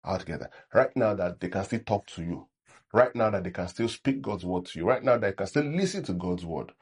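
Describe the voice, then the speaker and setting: Nigerian man preaching, deep husky voice, conversational sermon with check-ins. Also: lively intonation, brisk, darkish tone. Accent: Nigerian English. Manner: acts out sample prayers in a mocking tone. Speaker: pastor.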